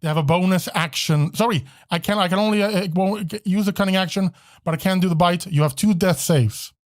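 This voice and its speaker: A man narrates a tabletop game as its game master. His voice sits a little high and the sound is fairly dry. He feels his way through rulings, often stopping to correct himself. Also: no echo. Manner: halting, self-correcting.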